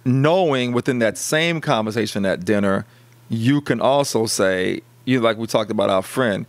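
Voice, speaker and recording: A man's voice: lowish voice, moderate pace. A middle-aged man co-hosting a podcast, talking casually with many stammered restarts. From United States. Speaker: podcast co-host. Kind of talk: casual discussion.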